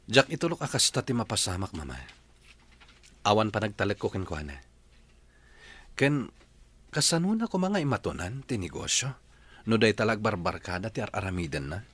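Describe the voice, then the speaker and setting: A man's voice: lowish voice, very animated, moderate pace, pauses often, a bit bright, breathy edge, heavily acted. A man with a Filipino Ilocano accent playing an earnest, dutiful husband and businessman son in a radio drama. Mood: firm.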